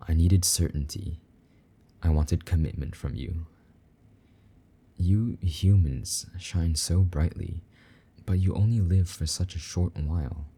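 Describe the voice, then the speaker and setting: A man playing a possessive but tender, lonely demon lover in an intimate romantic audio roleplay, speaking softly and coaxingly in a husky voice. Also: full character voice. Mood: regretful, possessive. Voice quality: very deep, dark, husky. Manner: soft, slow-burning, gently coaxing endearments.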